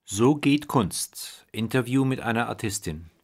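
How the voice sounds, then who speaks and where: A man's voice: medium pitch, lively intonation, a little slow, slightly rough. A man reading a scripted introduction and interview questions as the interviewer.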